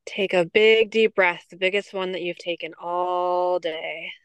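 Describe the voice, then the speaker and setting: A woman co-hosting a podcast with a smooth, clear voice, recorded close and dry. She talks in a bubbly, rambling way full of "like" and "um", then slows down to guide a breathing exercise. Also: on the low side, no room sound. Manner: bubbly, lots of fillers, leads a calm breathing exercise. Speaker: podcast co-host. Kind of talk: casual chat.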